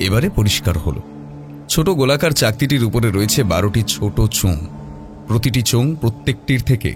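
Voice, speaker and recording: Casting: male horror-story narrator, low register, theatrical and suspenseful, over strong background music. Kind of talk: dramatic scripted narration of horror mystery. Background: prominent background music.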